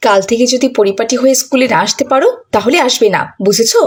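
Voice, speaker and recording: Stern female schoolteacher, acted by a woman narrator, husky, fairly high voice, reproving cartoon delivery. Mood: scolding. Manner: brisk, reproving. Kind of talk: children's moral cartoon dub.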